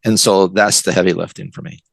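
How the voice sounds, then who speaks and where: A man's voice: low voice, steady tone, a little fast, vocal fry, breathy edge, no echo. An older American man, a writer from the West Coast, giving enthusiastic, reflective answers as an interview guest. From West Coast of the United States. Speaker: guest, novelist and college teacher.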